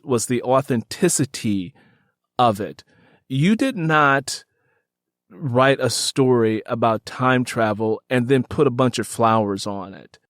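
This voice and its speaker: A man's voice: mid-range voice, dry recording, clean studio sound. A man hosting a casual interview, speaking enthusiastically and repeating phrases for emphasis. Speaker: podcast host interviewing an author.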